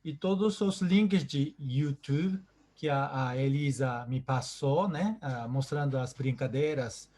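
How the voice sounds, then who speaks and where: A man with a Japanese accent, a native Japanese speaker, hosting and giving effusive closing remarks in a halting way with many repeated words. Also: medium pitch, a little mellow. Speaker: host. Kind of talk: closing remarks on a video call.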